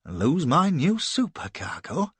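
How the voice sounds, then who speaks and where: A man voicing a cold, menacing middle-aged slave-ship captain in an audiobook, quietly threatening and commanding. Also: fairly high pitch, big pitch swings, takes it slowly, husky, dry recording, voice pushed up, full character voice. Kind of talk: audiobook character voice.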